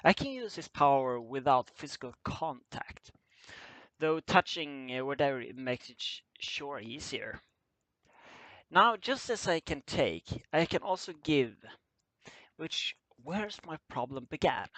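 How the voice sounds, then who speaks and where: A man reading a scripted story aloud as an amateur narrator, halting and stumbling over his words. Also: medium pitch, animated, fairly bright tone, no echo, poor audio. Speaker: amateur fan fiction reader.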